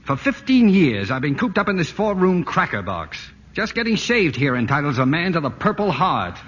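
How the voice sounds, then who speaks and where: An actor playing a harried, middle-aged city ad man, brisk and exasperated, in 1940s radio acting. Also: a little high, sing-song, fairly bright tone, husky, exaggerated voice. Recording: dull old radio broadcast with music.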